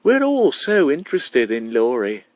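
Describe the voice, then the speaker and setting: Man voicing prim schoolteacher woman, pitched up. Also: huge pitch swings, a little slow, dry recording. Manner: prim, polite. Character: prim, tactful female kindergarten teacher.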